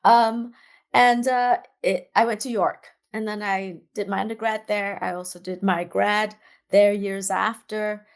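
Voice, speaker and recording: A woman's voice: medium pitch, steady tone, a little slow. Middle-aged woman from singapore, chatty guest on a video call, lots of little laughs and restarts. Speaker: guest, dance artist. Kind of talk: casual interview chat.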